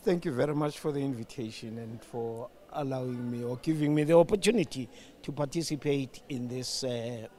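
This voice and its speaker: An older man making deliberate, pausing remarks as an interview guest. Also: mid-range voice, mellow. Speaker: former radio executive, studio guest.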